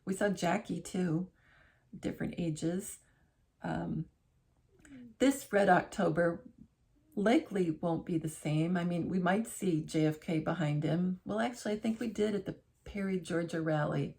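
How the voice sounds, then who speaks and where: A woman's voice: on the low side, a little slow, vocal fry, darkish tone. A middle-aged woman vlogging from her living room armchair, chatting casually to camera in a rambling way, often restarting and correcting herself. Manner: rambling, self-correcting, conversational.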